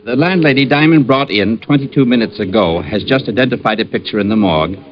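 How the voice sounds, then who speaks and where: An actor playing a dutiful, formal, easily flustered police sergeant in vintage radio drama. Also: male voice, medium pitch, fast, natural voice. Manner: formal, hesitant, obedient. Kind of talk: 1940s radio comic-relief acting. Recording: muffled, noisy old radio recording.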